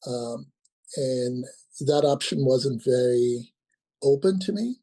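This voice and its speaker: A man around sixty, from Nigeria, talking as an interview guest and often pausing with ums and restarts. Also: medium pitch, gravelly, warm tone. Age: about 60. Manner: hesitant, many ums and restarts. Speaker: guest, company founder. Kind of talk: casual interview talk.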